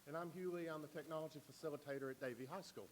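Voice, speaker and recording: A man who works as a school technology facilitator, giving an informative presentation to a board meeting with frequent pauses and fillers. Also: fairly high pitch, steady tone, a little hiss or hum, low-quality recording.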